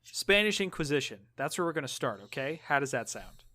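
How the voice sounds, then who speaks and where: A man's voice: fairly high pitch, very animated, fairly quick, bright, forceful tone, slight background noise. A man co-hosting a podcast, telling stories in an informal, sweary way. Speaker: podcast co-host. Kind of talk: casual chat, storytelling.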